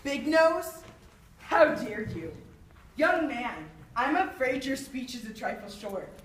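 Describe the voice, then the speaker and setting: A woman's voice: sits high, animated, takes it slowly, slight background noise. A young woman playing a proud, witty, mocking swordsman poet in a theatrical monologue, haughty and taunting as she declaims. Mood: indignant, haughty. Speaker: student actor. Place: echoey room, far from the microphone. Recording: roomy echo, distant microphone.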